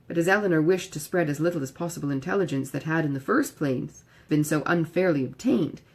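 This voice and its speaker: A woman's voice: lowish voice, darkish tone, breathy edge. A woman reading a classic novel aloud as scripted audiobook narration. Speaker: audiobook reader.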